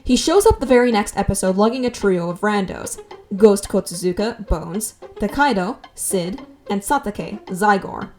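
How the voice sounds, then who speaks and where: A woman's voice: mid-range voice, expressive, moderate pace, darkish tone. A woman hosting a scripted video essay with wry, conversational asides, over background music.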